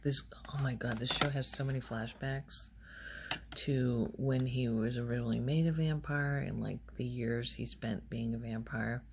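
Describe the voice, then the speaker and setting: A soft-spoken woman rambling in a halting, unhurried ASMR style, her quiet voice often close to a whisper. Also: a little hiss or hum. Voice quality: soft, low-volume voice, often near whisper. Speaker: ASMR video host.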